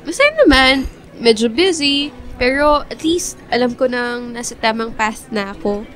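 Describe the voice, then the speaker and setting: A woman's voice: a little high, unhurried, fairly bright tone, faint music behind. A woman playing a playful, teasing young best friend in a Filipino radio drama. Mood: playful, friendly. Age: young adult.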